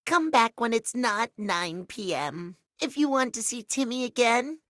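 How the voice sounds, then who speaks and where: A man's voice: very high voice, expressive, a little slow, fairly bright tone, dry recording, clean studio sound, full character voice. A man voicing a vain, needy, childish male cat clock in a video game, using pouty third-person baby talk. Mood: pouty, wheedling. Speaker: voice actor. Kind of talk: video game character acting.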